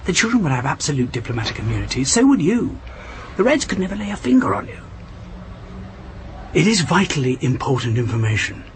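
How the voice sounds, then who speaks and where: An actor playing an earnest, patronizing British intelligence officer and husband in a radio drama, urgent and persuasive. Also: male voice, a little high, very animated, a little fast, slight background noise. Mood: grave, persuasive. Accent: British English.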